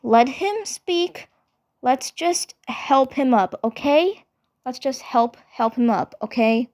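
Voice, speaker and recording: Woman as a calm, high-voiced cartoon heroine leader. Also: slow. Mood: calm, firm. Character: calm, caring young cartoon superheroine, the leader sister.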